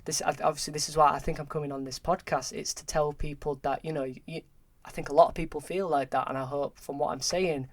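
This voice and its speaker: A young man in his early twenties, a podcast guest talking candidly and haltingly, often repeating words. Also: fairly high pitch, steady tone, no echo. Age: early 20s. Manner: halting, repeats words, open about struggles. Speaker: podcast guest, film graduate. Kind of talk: candid conversation.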